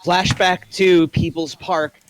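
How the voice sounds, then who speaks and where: A man reading the stage directions aloud at a table read in a plain, even way. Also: high voice, slow pace.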